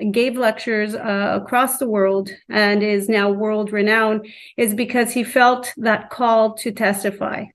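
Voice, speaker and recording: A woman who teaches high school, speaking earnestly and deliberately in a reflective interview.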